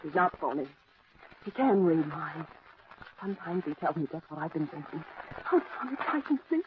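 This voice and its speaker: A woman playing a frightened, submissive young wife in old-time radio melodrama style, halting, anguished and pleading. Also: low-pitched, lively intonation. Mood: anxious pleading. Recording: tinny, noisy old radio recording.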